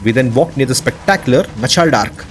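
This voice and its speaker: A man's voice: mid-range voice, fairly quick, slight background noise. A man hosting a video, narrating gameplay in a casual, enthusiastic, lightly scripted way. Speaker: video host. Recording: music behind the voice. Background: background music.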